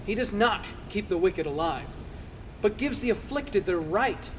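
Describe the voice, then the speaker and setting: A man's voice: high-pitched, animated, measured pace. A man playing an earnest male counselor who rebukes and lectures in a declamatory dramatized scripture reading. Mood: earnest, reverent. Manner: declamatory, preaching. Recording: reverberant hall, faint background noise.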